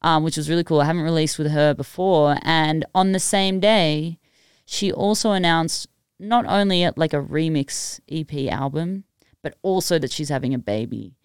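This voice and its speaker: A young Australian woman with a smooth, clear voice, recorded close and dry. She talks in giggly, animated, self-deprecating bursts, like a guest on a casual podcast. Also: low-pitched, moderate pace, no echo. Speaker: guest music producer.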